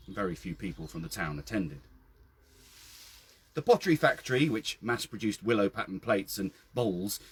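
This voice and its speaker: A British man with a husky voice reads a literary first-person story outdoors in a measured, expressive storytelling manner. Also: on the low side. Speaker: author reading own story. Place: outdoors by a well.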